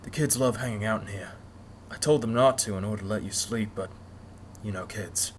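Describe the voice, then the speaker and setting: A woman voicing a calm, protective male refugee in a story reading, reassuring and plain-spoken. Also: rumbling low, brisk, slight background noise, voice pushed down.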